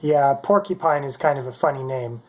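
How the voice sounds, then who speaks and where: A man's voice: medium pitch, moderate pace. A middle-aged man casually narrating a demonstration as a hobbyist maker. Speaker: maker presenting his homemade robot.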